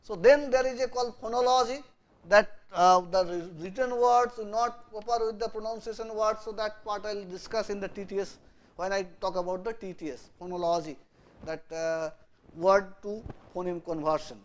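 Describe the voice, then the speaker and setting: A man's voice: steady tone, normal speed. Bengali-accented man lecturing at a chalkboard. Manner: repeats and restarts phrases, pitch jumps up to stress key words then drops low. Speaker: university lecturer. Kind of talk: classroom lecture. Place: classroom in front of a chalkboard. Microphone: lapel mic.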